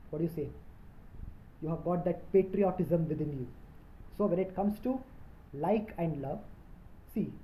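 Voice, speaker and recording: Very dark-voiced young man vlogging casually. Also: on the high side, slight background noise, rough recording. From India, raised in Indonesia. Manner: rambling, heavy "like, you know" fillers, repeats himself. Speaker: travel vlogger. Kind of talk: casual to-camera vlog talk.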